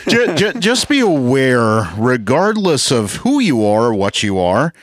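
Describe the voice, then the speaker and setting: A man co-hosting a casual podcast, joking and a little halting. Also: medium pitch, very animated, no echo. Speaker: podcast co-host.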